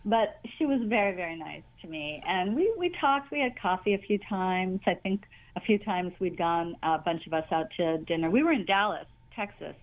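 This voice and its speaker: An older woman with a high voice, chatting in an interview as a guest, talking in long rambling runs full of restarts and laughs. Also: expressive, moderate pace, phone-quality sound. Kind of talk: casual interview chat.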